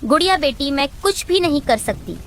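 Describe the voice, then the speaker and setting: A woman's voice: high voice, lively intonation, normal speed, fairly bright tone, a little hiss or hum. A woman voicing an earnest, devoted and anxious mother bird in a cartoon. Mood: reassuring. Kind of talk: Hindi cartoon voice acting.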